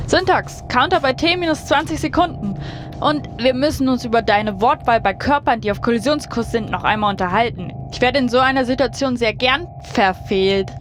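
A high female voice over music, an actress playing the captain of a space station. She gives brisk, terse orders with a dry, sardonic edge, in the style of a science fiction radio play. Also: close to an everyday voice. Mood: tense, dry. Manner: brisk commands, dry sarcasm, swearing. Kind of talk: science fiction audio drama acting. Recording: music bed behind voice.

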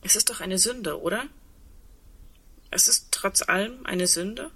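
An actress playing a lonely, sardonic woman awaiting the end of the world in an intimate radio play, in a breathy, reflective, wry way. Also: medium pitch, measured pace, pushed and bright, exaggerated voice. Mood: subdued.

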